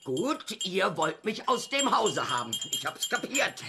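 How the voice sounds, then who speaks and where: A woman's voice: mid-range voice, big pitch swings, a little slow, gravelly, background noise. An actress playing a domineering older female cousin in a radio comedy play, commanding, scolding and theatrical. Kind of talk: German radio comedy crime play acting.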